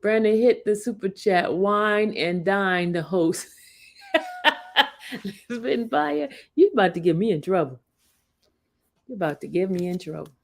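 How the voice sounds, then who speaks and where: Slow-talking woman in her fifties. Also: fairly low pitch. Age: 50s. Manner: chatty, laughs often, talks to her live chat. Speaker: livestream host. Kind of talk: casual livestream chat.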